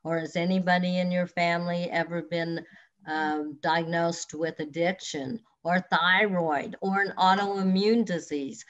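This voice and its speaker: Slow, earnest older woman counselor on a video call. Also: on the low side, level tone. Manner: slow, earnest, advises listeners directly. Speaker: counselor and hypnotherapist. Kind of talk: online expo talk answering questions. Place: home room with large windows. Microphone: webcam on video call. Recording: dull lo-fi video call audio.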